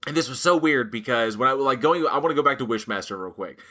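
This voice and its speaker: A man's voice: mid-range voice, lively intonation, fast talker, pushed and bright. A man co-hosting a casual talk about horror films, rambling, swearing freely and laughing often.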